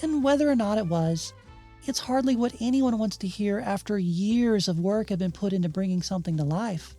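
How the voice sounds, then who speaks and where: A woman's voice: medium pitch, normal speed, dark tone, soft background music. A woman hosting and narrating a scripted video essay. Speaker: narrator and host.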